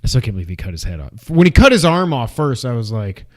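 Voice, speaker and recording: Male co-host, mid-pitched, casual and unscripted, animated movie-fan chatter. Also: fast.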